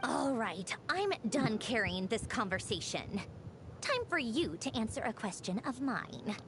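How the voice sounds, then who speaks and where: A woman's voice: fairly high pitch, big pitch swings, unhurried, noisy background. A woman playing a sardonic, easily exasperated engineer commander in a video game, with a dry, teasing manner. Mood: teasing, flustered. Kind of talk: scripted video game voice acting. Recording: music under the voice. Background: game music.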